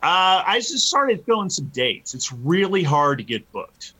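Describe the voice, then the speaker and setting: A man's voice: high-pitched, sing-song, a little slow, bright, forceful tone, husky, low-quality recording. A man of fifty-one speaking casually and earnestly as an interview guest, feeling his way through his phrases. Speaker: interview guest, metal band musician.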